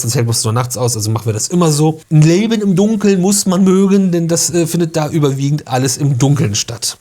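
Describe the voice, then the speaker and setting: A man's voice: mid-range voice, a little mellow. A young man hosting a travel vlog, giving casual, chatty tips in an informal, slangy way.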